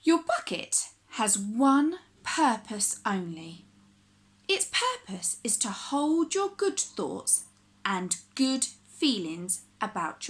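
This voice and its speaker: A woman reading a children's picture book aloud like a primary teacher, with expressive, deliberate phrasing for young listeners. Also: a little high.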